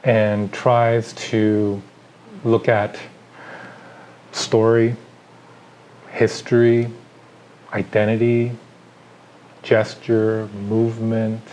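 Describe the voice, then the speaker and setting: A middle-aged man from Chile talks through his ideas as an artist in an interview. His voice sits low, and he pauses often with fillers as he lists his thoughts one by one. A faint hum of room ambience runs behind him. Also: darkish tone, muffled recording.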